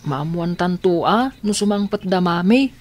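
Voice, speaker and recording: Casting: caring young brother for radio drama, worried tone, animated pitch. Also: male voice, a little slow. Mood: worried, gentle. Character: worried, gentle blind boy, a caring brother. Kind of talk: Ilocano radio drama acting.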